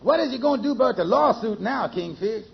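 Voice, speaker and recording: An actor playing a mild, earnest, helpful friend in a vintage radio sitcom, hesitant and folksy, with a gravelly voice. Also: male voice, very high-pitched, expressive, brisk, slight background noise, heavily acted. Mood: mild concern. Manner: hesitant, folksy nonstandard grammar. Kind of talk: 1940s-50s radio sitcom acting. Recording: muffled vintage radio recording.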